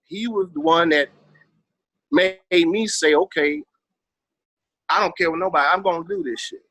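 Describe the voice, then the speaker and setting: A middle-aged man with a Southern American accent and a forceful, bright voice pitched on the high side. He talks as a veteran guest reminiscing, streetwise and emphatic, swearing freely. The sound is close and dry. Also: moderate pace, no echo. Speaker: interview guest, veteran rapper. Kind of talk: casual interview reminiscing.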